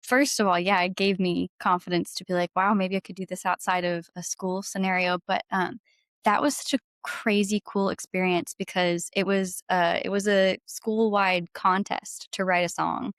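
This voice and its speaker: Female, 20s, podcast guest. Slightly low pitch, dry close sound, gushing casual delivery. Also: level tone, normal speed, fairly bright tone, dry recording. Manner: bubbly, gushing, frequent "like". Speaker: guest singer-songwriter and violinist. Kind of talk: casual interview chat.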